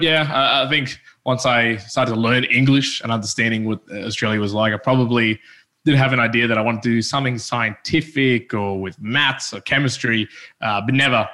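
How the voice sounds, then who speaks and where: A man from Colombia, a podcast co-host, telling his story in a measured, reflective way. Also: fairly low pitch, sharp tone. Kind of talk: casual chat.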